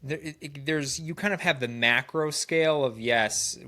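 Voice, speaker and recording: A man's voice: a little high, moderate pace, pushed and bright. A man co-hosting a trading podcast, sounding nasal and congested from a cold, who often restarts phrases as he talks. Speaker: podcast co-host. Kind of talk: casual podcast chat.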